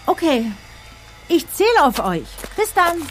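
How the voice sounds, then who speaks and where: An actress playing a brisk, self-assured young female client reporting a puzzling break-in in a German audio drama. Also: very high voice, big pitch swings, fairly bright tone, soft background music, full character voice, poor audio. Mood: matter-of-fact, insistent.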